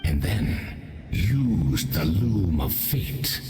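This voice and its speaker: A man playing a grandiose, menacing abyssal herald in a video game, speaking in measured, theatrical phrases. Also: low voice, very animated, a little mellow, roomy sound, faint music behind, full character voice. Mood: gloating triumph. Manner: grandiose, measured, dramatic pauses. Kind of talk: video game villain acting.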